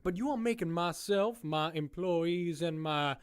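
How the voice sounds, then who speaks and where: A man's voice: a little high, unhurried, clear, resonant tone, close to an everyday voice. A man playing a breezy, vain circus owner in an audio drama, with smug, folksy politeness. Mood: impatient, sarcastic. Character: breezy, vain showman who owns a prison circus.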